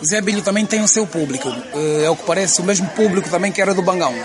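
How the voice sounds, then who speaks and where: A man with an Angolan Portuguese accent, an interviewer putting quick, prompting questions on the street. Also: high-pitched. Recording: crowd noise behind.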